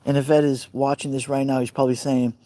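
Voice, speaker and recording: Brooklyn man, middle-aged, mellow timbre, chatty live streamer by an outdoor fire pit. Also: medium pitch, level tone, fast talker, no echo. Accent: Brooklyn New York. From Brooklyn, New York. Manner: chatty, rambling, answers viewer comments by name. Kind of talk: casual live stream chat with viewers.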